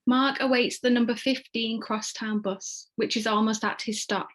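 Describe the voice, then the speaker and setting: Higher-pitched woman narrating a script. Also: steady tone, normal speed. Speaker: narrator reading stage directions.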